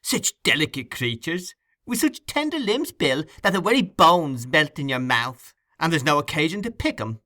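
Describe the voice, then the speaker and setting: A man's voice: on the high side, sing-song, normal speed, few pauses, bright, forceful tone, pitched above the performer's own voice. A man voicing a gleeful, boastful young male thief showing off stolen food in a storybook reading.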